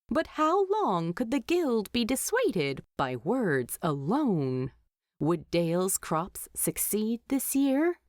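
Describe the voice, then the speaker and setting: A woman reading scripted fiction aloud as a storyteller, expressively and with deliberate dramatic emphasis. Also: on the high side, no echo, studio quality. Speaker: fan fiction narrator.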